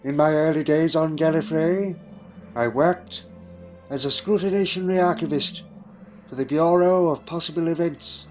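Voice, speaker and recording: A man playing a wistful alien time traveller in a dramatic audio drama, speaking haltingly and reflectively in short phrases. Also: a little high, natural voice. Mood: weary, resentful. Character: wistful male alien time traveller recalling a lonely childhood. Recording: music under the voice.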